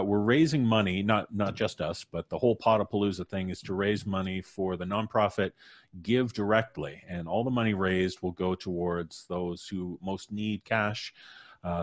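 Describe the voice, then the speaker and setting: A man's voice: on the low side, no room sound, clean studio sound. A man casually hosting a podcast livestream, speaking as the host on a video call. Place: indoor room, remote video call.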